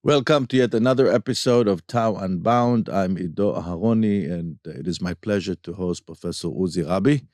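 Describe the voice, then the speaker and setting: A man with a fairly low voice hosts a podcast interview. He talks conversationally with his guest. The recording is dry, free of room echo. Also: moderate pace, few pauses, a little mellow. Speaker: podcast host, former diplomat.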